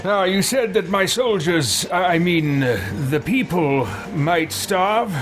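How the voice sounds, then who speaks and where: A man's voice: sits high, slow pace. A man giving roleplay game commentary, thinking aloud with hesitant musing and haughty in-character remarks. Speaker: gaming roleplay video creator. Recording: game music behind the voice. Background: video game music.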